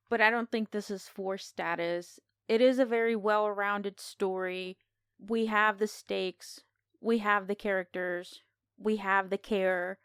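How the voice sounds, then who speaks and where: Very clear-voiced woman, closely recorded. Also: medium pitch, dry recording, studio quality. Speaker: podcast co-host reviewing a film. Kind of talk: casual film discussion.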